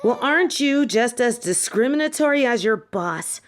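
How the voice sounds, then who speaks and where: Woman acting a defiant, hot-headed young witch student, pitched up. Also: expressive, normal speed, slight background noise, lightly acted. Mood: indignant. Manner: blunt, argumentative, sarcastic. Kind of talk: fantasy audio roleplay acting.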